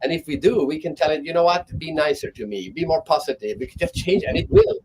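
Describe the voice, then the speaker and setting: A man's voice: a little high, very animated, fast, a little mellow, slight background noise, poor audio. A man speaking as a guest on an online panel, talking thoughtfully and often restarting his sentences.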